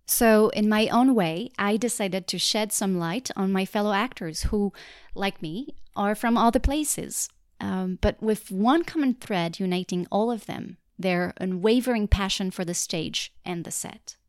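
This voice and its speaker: A woman's voice: medium pitch, unhurried, no echo, studio quality. French-accented woman hosting a podcast. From France. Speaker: podcast host. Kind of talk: scripted podcast trailer narration.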